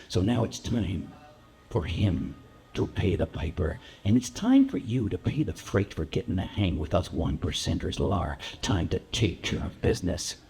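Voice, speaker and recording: A man playing a menacing war-veteran biker gangster in a stage monologue, speaking quietly and deliberately with coarse, threatening words. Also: low voice, big pitch swings, soft background music, pitched below the performer's own voice. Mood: quiet menace. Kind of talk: dramatic monologue in a live play reading.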